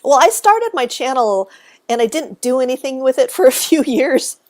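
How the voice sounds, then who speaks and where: A woman with a high voice, exuberant and chatty, explaining cemetery history as a guest on a live stream. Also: big pitch swings, normal speed. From Texas.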